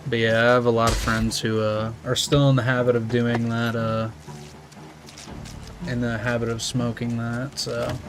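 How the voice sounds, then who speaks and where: A young American man, just out of high school, chatting casually and rambling with viewers while he plays. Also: monotone, moderate pace. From United States. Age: young adult. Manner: laid-back, rambling, slangy. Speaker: streamer. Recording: music playing behind.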